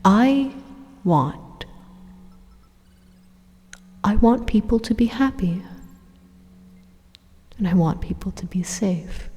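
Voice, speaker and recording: A woman playing an evil, persuasive supercomputer in an ASMR roleplay, calmly menacing in a breathy, hushed, deliberate monologue with dramatic pauses. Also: mid-range voice. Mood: measured, persuasive. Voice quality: breathy, whispery. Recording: long hollow reverb on the voice.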